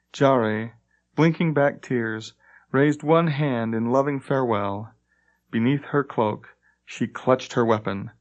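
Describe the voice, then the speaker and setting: A man's voice: mid-range voice, muffled recording. A man reading scripted fantasy narration as an audiobook narrator.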